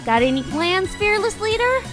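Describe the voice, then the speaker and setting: Woman as a very high-pitched snarky teen girl. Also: sing-song, normal speed. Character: snarky, combative teenage magical girl. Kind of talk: anime parody audio drama. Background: background music.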